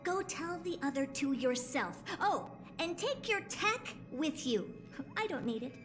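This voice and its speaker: Haughty, high-pitched young genius scientist. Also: female voice, very animated, a little slow, over music. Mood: angry, scornful. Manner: curt, scornful, emphatic. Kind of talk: video game voice acting.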